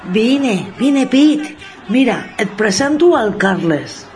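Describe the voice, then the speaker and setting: Excitable Catalan female friend, played by a woman: high, slow-stretched exclamations, bossy, for radio comedy drama. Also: a little hiss or hum. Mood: eager, scolding. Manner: exclamatory, insistent.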